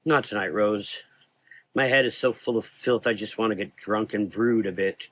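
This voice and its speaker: A man's voice: on the low side, a bit bright, no echo. Man playing a weary, brooding occult hunter. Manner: measured, ominous, formal. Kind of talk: gothic horror audio drama dialogue.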